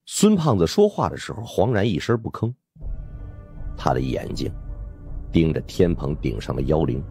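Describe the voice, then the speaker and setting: A man narrates a suspense audiobook in an extremely low voice. His delivery is dramatic, with wide swings of intonation. Soft music runs behind him. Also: big pitch swings, moderate pace, vocal fry, breathy edge, no room sound, clean studio sound.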